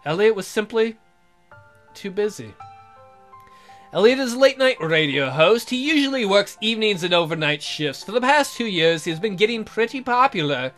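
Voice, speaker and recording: Animated high-pitched man reading aloud. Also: normal speed. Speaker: let's player, host. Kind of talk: reading a visual novel aloud with joking asides. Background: music.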